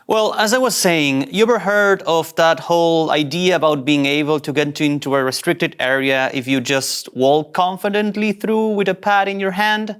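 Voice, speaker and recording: A man's voice: a little high, moderate pace, few pauses, fairly bright tone, clean tone, lightly acted. A man playing a young ensign who poses as a swashbuckling captain in a sci-fi comedy, pompous and self-serious. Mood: sheepishly confident. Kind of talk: animated sci-fi comedy table read.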